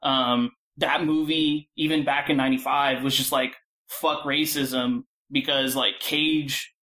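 A man talking casually as a panel guest, halting and peppering his speech with filler words. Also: medium pitch, little pitch movement, sharp tone, low-quality recording. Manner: halting, filler-heavy, frequent "like". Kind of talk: casual discussion.

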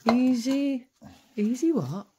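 A woman's voice: high voice, expressive, slow, background noise. A British woman chatting casually and wryly as she explores indoors. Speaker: urban explorer and vlogger. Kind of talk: casual chat while exploring. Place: indoors, rooms of derelict farmhouse.

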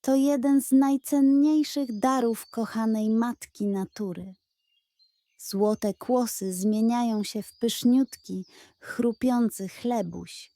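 A pompous, theatrical male pug from a children's audio story, acted by a woman. The voice is high and lifted, with grand, effusive proclamations. The recording is close and dry. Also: takes it slowly, warm tone, no room sound.

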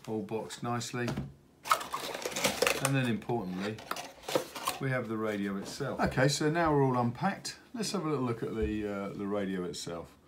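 A middle-aged man giving an unscripted product unboxing walkthrough, speaking haltingly with many hesitations. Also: lowish voice. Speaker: product presenter for a radio retailer. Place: indoors at a wooden table in an office. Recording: steady background room noise.

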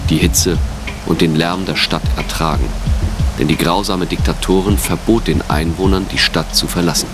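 Very deep-voiced male narrator. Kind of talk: scripted dramatic audio play narration. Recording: music under the voice.

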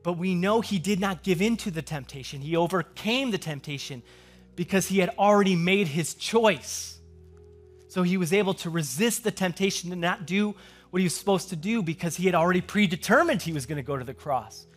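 An American man in his forties preaching a conversational sermon as a pastor, animated and emphatic in his delivery. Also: high voice, brisk, faint music behind. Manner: animated, emphatic, swings between hushed asides and loud emphasis. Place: large church stage, indoors.

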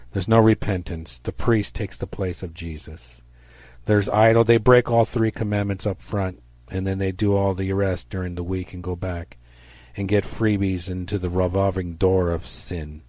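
A man delivers a fire-and-brimstone sermon in a low, mellow-toned voice. His manner is stern and accusatory, with declamatory emphasis on his phrases. The sound is dry and close, without room reflections. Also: no room sound. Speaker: preacher.

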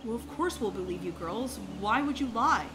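A woman voicing a caring, reassuring parent with a gentle, expressive manner in a dramatised children's story. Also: on the high side, normal speed, noisy background, close to an everyday voice. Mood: concerned, reassuring. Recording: music behind the voice.

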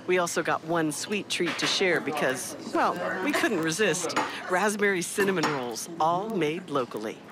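A woman's voice: fairly low pitch, big pitch swings, moderate pace, over a noisy crowd. An American woman reporter reading scripted narration for a TV feature story. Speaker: TV news reporter.

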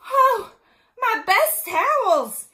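An exasperated storybook mistress of the house, acted out by a woman reader, dramatic and easily dismayed. Lines leap high and bright in wildly animated exclamations. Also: measured pace, heavily acted.

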